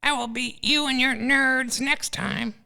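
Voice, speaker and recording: A scheming eagle professor, voiced by a woman, who taunts his foes with a high, gravelly sneer. The voice is bright and sharp. It fits an amateur comedy audio drama. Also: measured pace, heavily acted. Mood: gloating. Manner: gloating, taunting. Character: scheming male eagle professor villain, arrogant.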